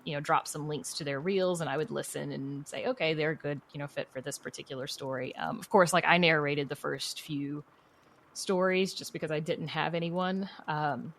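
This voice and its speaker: A woman with a low voice talks as a guest in a relaxed interview. Her speech has a marked creaky fry. She is chatty and conversational, dropping in frequent little asides. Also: normal speed. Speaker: guest, podcast creator and writer.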